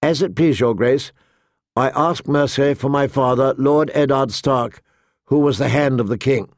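A man voicing a timid, hopeful young noble girl, soft and nervous, in an audiobook. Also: mid-range voice, measured pace, dry recording, clean studio sound, lightly acted. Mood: pleading. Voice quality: soft, tremulous.

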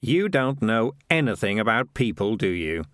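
A man's voice: mid-range voice, normal speed, dry recording, clean studio sound, pitched above the performer's own voice. A man voicing a battered, grumpy male cat in a storybook, gloomy and dryly sarcastic. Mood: weary scorn. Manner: gloomy, sighing, deadpan sarcasm.

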